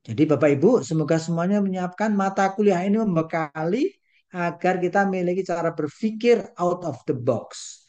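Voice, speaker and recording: An older man lectures over a video call in a mellow, relaxed voice. He talks in a folksy way, tossing in English phrases and playful rhymes. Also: fairly high pitch, dull audio. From Indonesia. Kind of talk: online lecture.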